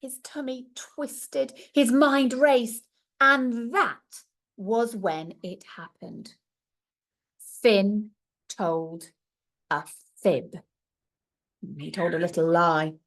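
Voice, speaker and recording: A woman's voice: medium pitch, fairly even intonation, drawn-out words, pauses often. A woman reading a storybook aloud to young children like a primary teacher, expressively and with little asides to her listeners.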